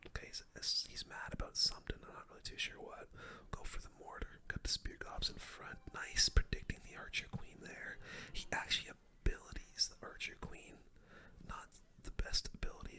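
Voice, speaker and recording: A person doing gameplay commentary in a breathy, unvoiced ASMR whisper, using casual gamer slang. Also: sharp tone. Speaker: gaming streamer, commentator.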